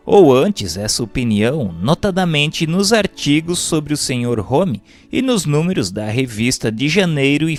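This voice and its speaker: Man narrating formally, animated intonation. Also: medium pitch. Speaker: narrator reading a book aloud. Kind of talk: scripted audiobook narration.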